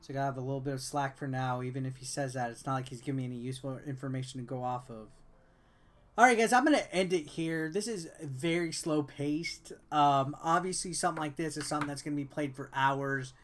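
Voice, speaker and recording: A man's voice: mid-range voice, quick pace. A man giving casual, slangy gameplay commentary as a game reviewer, with informal asides. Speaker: game reviewer, let's player. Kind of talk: casual gameplay commentary, reading dialogue aloud.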